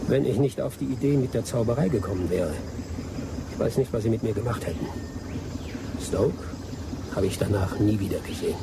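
An actor playing a bitter, vengeful adventurer in a German radio play, telling things with dramatic emphasis. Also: male voice, fairly low pitch, brisk, creaky, pauses often, very noisy. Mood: bitter recounting. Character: bitter, vengeful adventurer Anthony, a betrayed Englishman.

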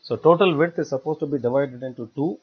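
A man giving a step-by-step software tutorial in a halting, repetitive way. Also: mid-range voice, slightly rough. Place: voice-over for screen recording. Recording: dull, lo-fi screencast audio.

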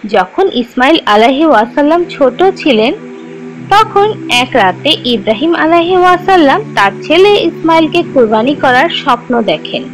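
A woman's voice: high-pitched, expressive, moderate pace, soft background music, rough recording. A woman storyteller giving scripted narration for children's religious cartoon stories.

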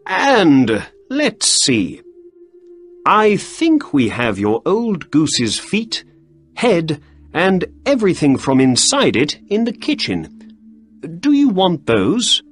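A man doing a bright, brisk detective. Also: fairly high pitch, wildly swooping pitch, faint music behind, voice pushed up, full character voice. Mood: apologetic. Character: clever brisk male detective, middle-aged.

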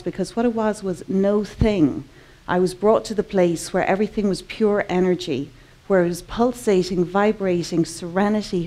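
A woman's voice: on the low side, darkish tone. Earnest Irish woman at a conference. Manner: earnest, measured, slowing on key words. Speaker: conference speaker, artist. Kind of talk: personal talk to a live audience. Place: large conference hall, indoors. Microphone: headset mic.

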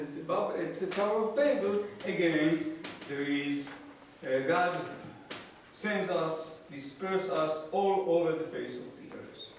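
A man in his sixties with an Israeli accent, a native Hebrew speaker, giving a public lecture as a professor with wry, deadpan asides. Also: on the high side, distant mic. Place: small lecture room with seated audience, indoors. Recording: echoey room from afar, noisy background.

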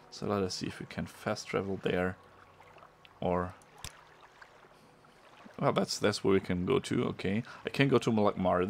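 Deep gravelly German-accented man. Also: a little hiss or hum. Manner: chatty, pauses to search for words. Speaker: gameplay streamer. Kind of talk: casual let's-play commentary.

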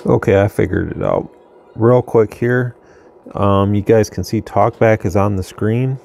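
A man's voice: fairly low pitch, a little slow, creaky, slight background noise. A man casually demonstrating a radio at his workbench, thinking aloud as he goes. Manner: relaxed, thinking aloud, restarts. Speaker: radio reviewer. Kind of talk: casual product demo. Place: workbench in a home radio room.

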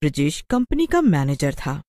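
A woman reading scripted story narration for an animated tale. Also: deep voice, normal speed, no room sound, studio quality.

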